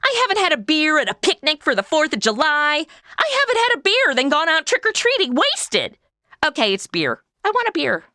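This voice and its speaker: A woman's voice: sits high, huge pitch swings, moderate pace, bright, forceful tone, no room sound, clean studio sound, heavily acted. A woman playing a sarcastic, wisecracking modern young woman in an old west comedy audio drama. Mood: wry. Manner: sarcastic, quippy. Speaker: title character.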